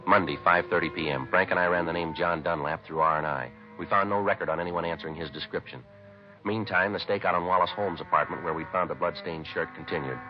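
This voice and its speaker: A man's voice: sits low, steady tone, quick pace, close to an everyday voice. An American man playing a terse, businesslike police detective in 1950s radio crime drama, speaking in a clipped, matter-of-fact, formally polite way. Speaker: detective narrator. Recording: muffled vintage radio recording with music.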